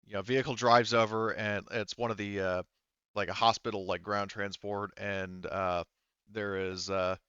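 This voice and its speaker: A man's voice: lowish voice, a bit bright, clean tone, no echo, highs cut off. A man running a tabletop roleplaying game as game master, explaining and narrating in a hesitant, rambling way.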